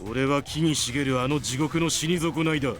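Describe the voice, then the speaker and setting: An actor voicing a menacing, confident fighter in a Japanese visual novel game, with music behind him. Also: male voice, fairly high pitch, fast talker, close to an everyday voice. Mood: fierce, scornful.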